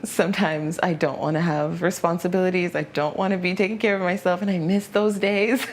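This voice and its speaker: A woman in her mid twenties speaks in a lowish voice. Her delivery is calm and introspective. She is talking about herself in a documentary interview. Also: brisk, a little hiss or hum. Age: mid 20s.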